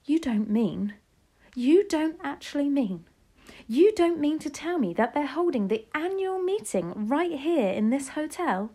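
A woman reading aloud as an elderly grandmother, eager and commanding, with urgent, excited questions. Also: high voice, unhurried, pitched above the performer's own voice, full character voice. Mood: incredulous.

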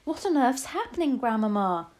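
Woman voicing an excited high-pitched little boy. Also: normal speed. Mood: excited wonder. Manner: excited, urgent. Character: excited young boy turned mouse, eager and curious.

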